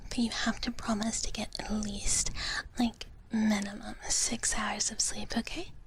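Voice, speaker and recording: A woman streamer whispering softly and gently to her viewers in a breathy, caring ASMR style, close to the microphone. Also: medium pitch, level tone, unhurried. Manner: refers to self in third person. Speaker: live streamer.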